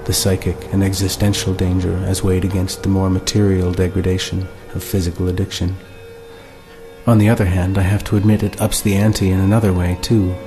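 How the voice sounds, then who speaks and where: A man narrating a scripted, reflective monologue in a measured, deliberate and articulate way. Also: sits low, little pitch movement. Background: music.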